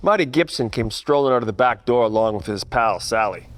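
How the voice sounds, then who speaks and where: A man playing a wry, deadpan private eye and ex-cop in a parody of 1940s radio detective drama, narrating and trading wisecracks. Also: mid-range voice, fairly quick, lightly acted.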